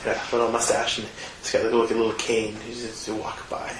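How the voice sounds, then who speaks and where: A man running a tabletop roleplaying game, narrating in a halting way with frequent filler pauses. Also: fairly low pitch, completely flat delivery, roomy sound, crowd noise, low-quality recording. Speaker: game master.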